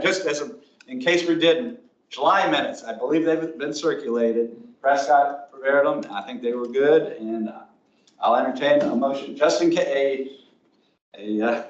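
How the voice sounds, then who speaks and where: A man chairs a public meeting, his voice sitting at a middle pitch, and he wanders through the agenda thinking aloud and hedging as he goes. He is picked up from a distance in an echoing room. The recording is dull and lo-fi. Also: normal speed. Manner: meandering, thinks aloud and hedges. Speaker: commission chair.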